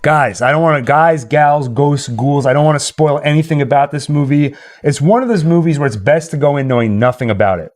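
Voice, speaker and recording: A man's voice: a little high, fairly quick, few pauses, a little mellow. A man hosting a livestream, chatting casually and reading out comments. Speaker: livestream host. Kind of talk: casual chat.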